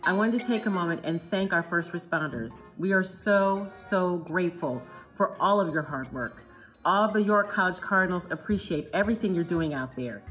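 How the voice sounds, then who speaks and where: A woman's voice: on the low side, animated, moderate pace, faint music behind. A woman from a college giving a grateful thank-you message to first responders. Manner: warm, grateful.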